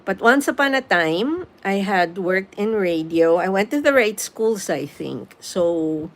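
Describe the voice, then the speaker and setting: A woman's voice: mid-range voice, expressive, unhurried. A middle-aged woman from the Philippines with a Filipino accent, chatting casually with viewers on a live stream and slipping in Tagalog phrases. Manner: chatty, mixes Tagalog phrases, reads comments aloud. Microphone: phone.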